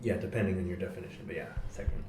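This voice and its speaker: A man from the United States talking in a relaxed podcast conversation, thoughtful and measured, often pausing with ums and correcting himself. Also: sits low, slight background noise. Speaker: podcast guest. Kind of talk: casual conversation. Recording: roomy echo.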